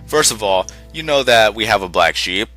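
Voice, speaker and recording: Young man, low voice, forceful tone, slightly congested, self-correcting, faint music behind. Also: expressive, normal speed. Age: young adult. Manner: rambling, self-correcting, conversational. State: slightly sick and congested, short on sleep. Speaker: gaming channel host. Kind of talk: casual rambling channel update.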